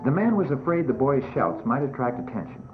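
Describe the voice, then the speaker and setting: A man narrates an educational film, speaking straight to children in an admonishing way. His pitch moves a great deal, and the muffled vintage soundtrack carries clear music behind him. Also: very animated, a little fast. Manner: admonishing, addressing children directly. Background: background music.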